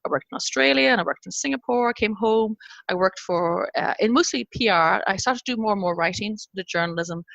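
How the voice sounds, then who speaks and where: A woman's voice: medium pitch, fairly quick, creaky, fairly bright tone, dry recording, highs cut off. An Irish woman in her fifties, a guest telling her story in a casual interview and laughing often. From Ireland. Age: 50s.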